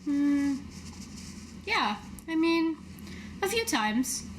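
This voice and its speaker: A woman playing a world-weary but kind adventuring captain in a tabletop game, halting and understated. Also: high voice, big pitch swings, slight background noise, pitched above the performer's own voice, full character voice. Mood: reflective, resigned.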